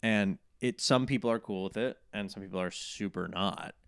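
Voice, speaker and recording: A man, a comedian, riffing in casual podcast banter as a guest, animated and prone to mock voices. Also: on the low side, moderate pace, creaky, clean tone, no echo, clean studio sound.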